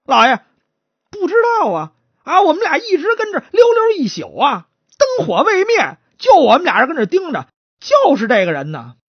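A woman puts on a servile, talkative guard in an old-time tale. He pleads in a high, whining, stammering voice that swoops widely. The sound is close and dry, slightly muffled. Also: sing-song, normal speed, no echo. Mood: anxious pleading. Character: cowering, chatterbox male yamen guard pleading innocence.

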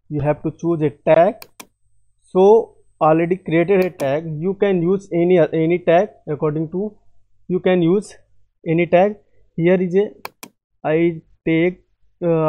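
Man, software tutorial, slow delivery, mellow tone, halting with self-corrections. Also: on the high side. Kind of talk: screen-recorded software tutorial.

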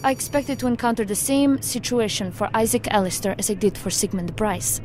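An actress playing a formal, analytical federal agent in an audio drama, speaking precisely. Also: female voice, mid-range voice, brisk, music in the background, close to an everyday voice. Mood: measured, analytical. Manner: precise, formal, without contractions.

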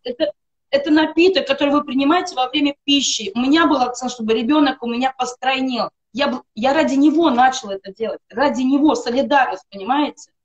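Woman, middle-aged, slightly high pitched, earnest testimonial, emphatic lists, webcam video call, home room. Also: quick pace, muffled recording. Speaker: guest on a live stream. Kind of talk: personal testimonial for a wellness product.

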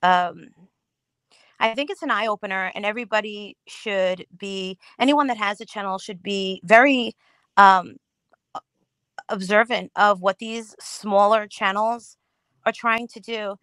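A woman's voice: medium pitch, measured pace, fairly bright tone, clear, resonant tone, dry recording. A woman guest on a livestream panel, chatting casually with strong opinions and repeating phrases for emphasis.

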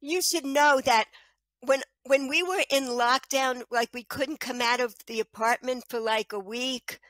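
Lively high-voiced woman on a headset. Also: dry recording, poor audio. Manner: animated, gestures a lot, lots of "you know". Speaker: guest, children's author. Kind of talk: casual video call chat. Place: small room at home. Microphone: headset mic on a video call.

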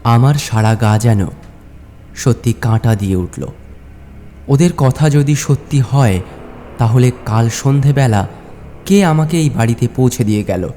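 A man narrating a horror story in the first person, dramatically, in halting, suspenseful short phrases. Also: medium pitch, lively intonation, warm tone, soft background music.

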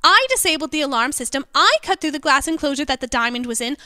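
Woman acting a gloating, high-voiced lady cat burglar. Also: animated, fast talker, sharp tone, dry recording, clean studio sound. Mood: indignant boasting. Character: gloating, playful female cat-burglar villain, self-styled Queen of Thieves. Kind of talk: comic detective audio drama acting.

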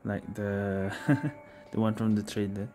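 Male game streamer, casual and unhurried, slightly low voice, game noise behind. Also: steady tone, mellow. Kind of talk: casual gameplay commentary.

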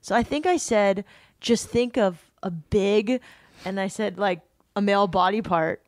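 A woman podcast host chats and tells stories with lively, animated energy. Her pitch is mid-range and the recording is dry and close. She keeps the talk casual and friendly in tone. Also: measured pace, no echo. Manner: lively storyteller, animated, chatty. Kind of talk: casual interview chat.

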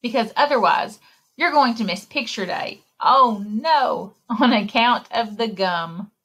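A woman with a fairly high, slightly husky voice reads a children's picture book aloud. Her delivery is very animated and sing-song, full of exclamations. She talks straight to young listeners like a story time librarian. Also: a little slow, low-quality recording. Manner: expressive, exclaiming, addressing young listeners directly. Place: indoor room, recorded screen share with webcam.

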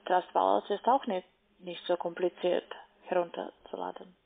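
A woman speaking as a non-native, working as a call centre agent, giving step-by-step instructions in halting phrases over the telephone. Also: on the low side, little pitch movement, gravelly. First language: not German. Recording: muffled narrowband phone line.

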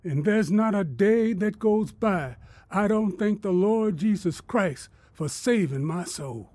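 A man's voice: high voice, animated, slow, a little mellow, no room sound, exaggerated voice. A man playing a humbled, earnest farmer telling his story in folksy rural phrasing in a radio drama. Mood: sincere.

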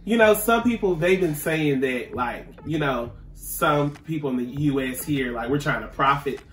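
A man's voice: on the high side, moderate pace, a bit bright, distant mic, soft background music. An American man in his thirties, co-hosting a reaction video in casual conversation. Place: indoor room with fabric backdrop. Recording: echoey room.

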